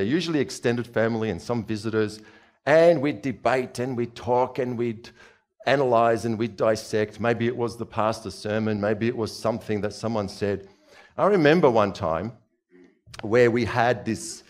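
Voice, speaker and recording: A man in his forties, born in Czechoslovakia, preaching a conversational sermon from a church stage on a headset mic, asking the audience questions as he moves about. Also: mid-range voice. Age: 40s.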